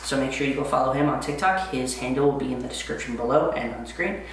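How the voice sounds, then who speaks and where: A man's voice: mid-range voice, fast talker, a little hiss or hum. Echoey, far-off young man. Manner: upbeat and chatty, with frequent "um" fillers. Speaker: podcast host. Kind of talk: casual chat. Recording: very echoey room, far from the mic.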